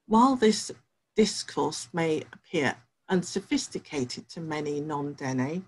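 A middle-aged woman researcher reading a prepared talk from her home study, measured and careful, with pauses. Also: fairly low pitch, slightly rough, rough recording.

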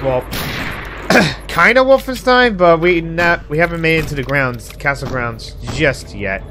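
A man's voice: fairly high pitch, noisy background. A young man with a cold, coughing now and then, chatting casually as he hosts a game playthrough. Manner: chatty, reacts loudly to surprises. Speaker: let's play host. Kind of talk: casual gameplay commentary.

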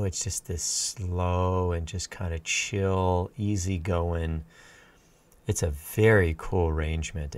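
Low-voiced man, relaxed music reaction. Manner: relaxed, admiring, rambling with fillers. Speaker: band teacher reacting to music. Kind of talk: casual reaction commentary. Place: small home studio room indoors. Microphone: large studio mic on a stand, close.

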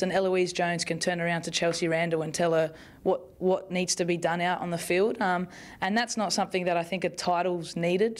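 A young Australian woman speaks into a cluster of press microphones in a club media room. Her voice sits low and stays fairly flat. She answers in a relaxed, rambling way, full of fillers and restarts. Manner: chatty, with many fillers and restarts, laughs easily. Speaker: football player at a press conference. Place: club media room in front of a sponsor backdrop. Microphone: bunch of press microphones on the table.

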